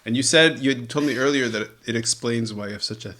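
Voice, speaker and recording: A man's voice: mid-range voice, quick pace, heavy background noise. A man hosting an interview in a guest's home, talking casually with playful teasing and frequent laughter. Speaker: podcast host.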